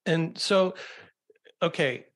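A man's voice: on the high side, takes it slowly. A man hosting a podcast, speaking in a casual conversational style. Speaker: podcast host.

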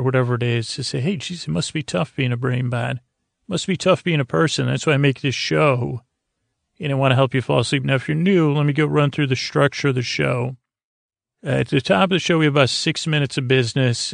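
A middle-aged man with a creaky voice hosting a bedtime podcast, talking in a halting, meandering, goofy way that keeps interrupting itself. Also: mid-range voice, dry recording, clean studio sound. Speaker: podcast host. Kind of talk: rambling bedtime podcast monologue.

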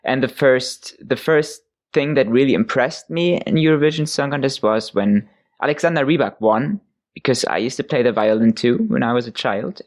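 Giggly young man. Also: mid-range voice. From Germany. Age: young adult. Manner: giggly, restarts words, chatty. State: sleepy, tired voice. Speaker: guest singer. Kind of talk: casual interview chat.

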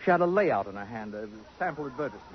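An actor playing a vain, acid-tongued middle-aged columnist with pompous, precise diction in a 1950s radio drama. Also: male voice, mid-range voice, lively intonation, very fast, soft background music, close to an everyday voice. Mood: wistful, self-important. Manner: pompous, precise, sardonic, elaborate phrasing. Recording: muffled, noisy 1950s radio broadcast.

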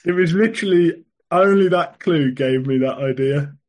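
A man's voice: on the high side, normal speed, a little mellow. A man taking part in a casual podcast quiz, restarting his sentences before answering. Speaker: quiz contestant.

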